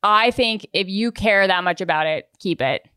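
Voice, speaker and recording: A woman's voice: medium pitch, moderate pace, sharp tone, clear, resonant tone, no echo. A woman hosting a casual podcast in an upbeat, encouraging way. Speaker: podcast host.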